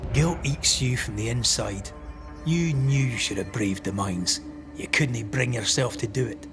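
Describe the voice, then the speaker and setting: An actor playing a resolute male ghost hunter in a video game, accusing in a stern, measured, solemn way. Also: medium pitch, music in the background, lightly acted. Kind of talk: dramatic video game acting.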